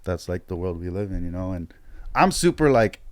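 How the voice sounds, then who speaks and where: Podcast guest: low-pitched man from Hong Kong, casual and stammering, dry close recording. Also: animated, brisk, darkish tone, no room sound. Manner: laughing often, stammering restarts. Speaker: podcast guest, MMA fighter. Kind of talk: casual chat.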